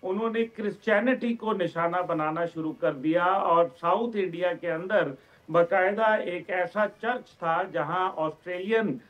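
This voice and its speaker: A man's voice: sits high, measured pace, muffled recording. A man with a Pakistani accent giving emphatic, lengthy explanations as a guest commentator on a political television talk show. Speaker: guest commentator, organisation chairman.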